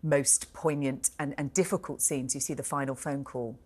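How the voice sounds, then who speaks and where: A British woman in her forties with a notably low voice. She speaks as a studio pundit, measured and articulate, leaning on key words as she reviews. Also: fairly even intonation, darkish tone, breathy edge. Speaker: royal editor, studio pundit. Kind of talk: live broadcast review and commentary. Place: television studio.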